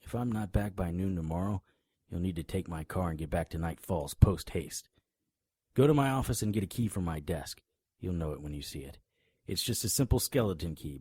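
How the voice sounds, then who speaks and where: An actor playing a tough, wisecracking monster hunter in an audio drama, grim and cocky, with a husky voice. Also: male voice, low voice, a little mellow, dry recording, clean studio sound. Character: hard-boiled male monster hunter, grim, cocky, threatening. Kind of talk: audio drama acting with noir first-person narration.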